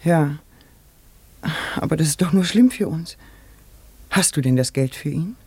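An anxious innkeeper's wife in a radio play. Her voice is a little husky, and she pleads fearfully, a reluctant accomplice who dreads being poor. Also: low-pitched, animated, measured pace, warm tone, no echo, full character voice. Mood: uneasy. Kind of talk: German radio drama acting.

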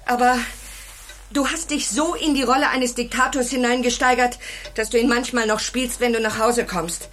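An actress playing a worried, reproachful wife who speaks urgently and earnestly in a German radio drama. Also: female voice, fairly high pitch, steady tone, moderate pace, a bit bright. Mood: pleading, worried.

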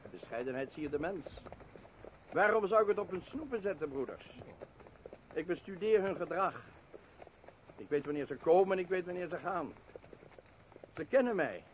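An actor playing a humble bird expert of the mountains in a radio drama. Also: male voice, sits high, animated, moderate pace, pauses often, dull audio, a little hiss or hum. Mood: earnest.

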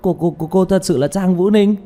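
A man voicing an excitable, star-struck young male sidekick who gushes and exclaims in an audiobook story. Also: high-pitched, brisk, faint music behind, pitched above the performer's own voice, natural voice. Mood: stunned, thrilled. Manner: exclaiming, gushing, stammering with excitement. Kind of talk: audiobook character voice.

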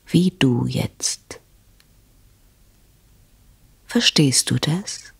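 Radio drama wife, cold beneath tender coaxing; breathy female voice, very mobile intonation. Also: rumbling low, expressive, drawn-out words, full character voice. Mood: hushed, cold. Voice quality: husky, breathy. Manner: soft, coaxing, controlled. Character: cold, calculating wife who soothes sweetly while poisoning her husband. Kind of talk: German radio play acting.

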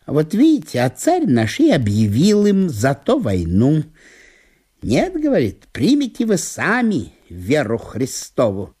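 Fussy sweet-talking gentleman, high voice, drags words out slowly, swoops around, radio play. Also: huge pitch swings, husky, exaggerated voice. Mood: earnest. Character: prim, sweet-talking, stubborn provincial gentleman who takes offence easily. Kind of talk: theatrical comic literary monologue.

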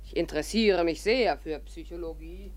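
An actress playing an imperious, curious old stage diva with a declamatory, condescending manner in a 1950s radio play. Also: female voice, lowish voice, moderate pace, a little mellow, a little hiss or hum, close to an everyday voice. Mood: grand, declaiming. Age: older.

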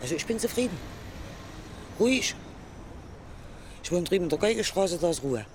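A man's voice: high voice, very animated, moderate pace. A man giving casual answers as a passer-by in an outdoor street interview. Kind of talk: casual vox-pop answers. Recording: noisy outdoor street recording.

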